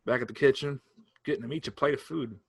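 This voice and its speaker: An actor reading the part of a patient, easygoing rural son in a staged reading. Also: male voice, medium pitch, fast talker, gravelly, breathy edge. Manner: easygoing, hesitant, soothing.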